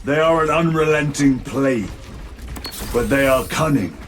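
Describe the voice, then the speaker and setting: An actor playing a stoic, battle-hardened space marine lieutenant in a video game, speaking in a formal, clipped, commanding manner. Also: male voice, medium pitch, expressive, measured pace, a bit bright, slight background noise. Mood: stern calm.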